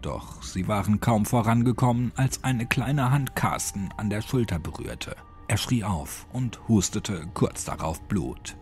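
Guy reading a creepypasta, lowish voice, lively swings, music underneath. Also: unhurried. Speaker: horror story narrator. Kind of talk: dramatic scripted audiobook narration. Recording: music behind the voice.

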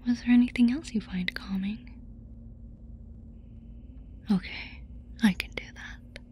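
A woman voicing a playful, affectionate alien abductor in a soft, casual, coaxing whisper for an ASMR roleplay. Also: a little high, fairly even intonation, slow. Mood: soothing, affectionate. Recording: noisy ambience behind close whisper.

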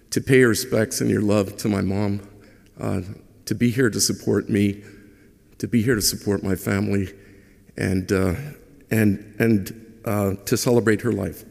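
Middle-aged guy, hungarian first language, gravelly lowish voice, eulogy at a lectern, lots of ums, big church echo. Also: steady tone, a little slow, darkish tone. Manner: conversational and humorous, with hesitations. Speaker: son giving a eulogy. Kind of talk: funeral eulogy. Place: large church. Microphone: lectern microphone. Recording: long church reverb.

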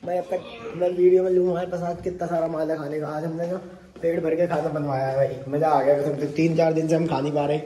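A man's voice: on the high side, little pitch movement, a little fast, mellow. Joking young man vlogging at home. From India. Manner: joking, teasing, laughs often. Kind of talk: casual vlog chat. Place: small home kitchen and room indoors. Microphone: handheld phone camera. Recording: echoey room, faint noise.

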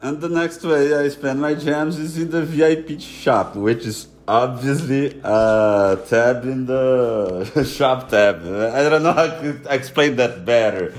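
A man's voice: mid-range voice, takes it slowly, few pauses. A man from Brazil with a Brazilian accent, Portuguese his first language, giving a chatty, self-joking voice-over for a game guide. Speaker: gaming YouTuber.

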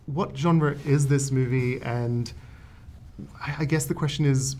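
A man in his thirties interviewing two guests at a press junket, chatty and quick to laugh. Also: mid-range voice, steady tone, a little mellow, a little hiss or hum. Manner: chatty, laughs often, friendly questions. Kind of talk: casual interview chat. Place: hotel press junket room, indoors.

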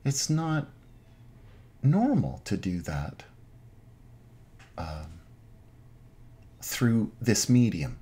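A middle-aged man, an Anglican priest, giving an informal online sermon from his home den in measured, halting phrases. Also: on the low side, mellow. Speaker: Anglican priest, parish pastor. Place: small home den with bookshelves.

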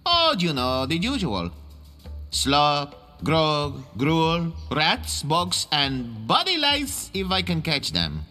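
High, bright, whiny jailbird. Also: male voice, huge pitch swings, slow, faint music behind, heavily acted. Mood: chatty, rueful. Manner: whiny, theatrical pleading. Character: pleading, foul-breathed, wretched male jailbird. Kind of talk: cartoonish video game acting.

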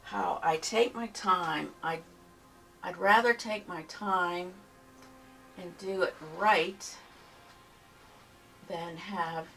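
Soft-spoken woman, slow, gravelly. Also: on the low side, steady tone. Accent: Pittsburgh. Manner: unhurried, murmurs to herself while painting. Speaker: painting teacher. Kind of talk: step-by-step painting tutorial. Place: home art studio, indoors. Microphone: camera mic from behind, far off. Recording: distant camera mic with faint music.

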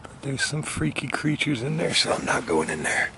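A man's voice: mid-range voice, sing-song, moderate pace, bright, forceful tone, a little hiss or hum. Chatty man exploring an abandoned house. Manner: chatty, joking, wondering aloud. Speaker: urban explorer vlogger. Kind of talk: casual exploring commentary. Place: abandoned house, indoors and overgrown yard.

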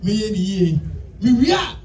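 High-voiced young man acting, echoey outdoor stage. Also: very animated, slow, darkish tone, distant mic, exaggerated voice. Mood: earnest. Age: young adult. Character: young man preaching education to youth. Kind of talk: live stage drama speech. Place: open palace courtyard, outdoors. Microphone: handheld microphone. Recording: echoey outdoor PA, crowd noise.

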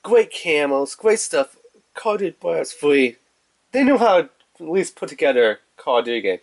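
A man's voice: on the high side, big pitch swings, normal speed, creaky. A man with a stuffy nose rambling casually through a video game unboxing review, full of fillers, recorded indoors at home on a phone or camera above a table. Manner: rambling, filler-heavy, talks to camera while handling the case. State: stuffy nose, congested, clearing throat. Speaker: gaming channel host.

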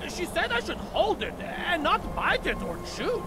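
A man's voice: extremely high pitch, wildly swooping pitch, unhurried, loud music behind the voice, full character voice. A man voicing a small, chatty, gullible fantasy game adventurer with a wordy, quaintly formal, earnest way of talking. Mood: self-assured. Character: small, chatty, gullible old Breton adventurer, proudly naive. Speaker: storytelling travel companion counting steps. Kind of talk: in-character storytelling in a fantasy game mod.

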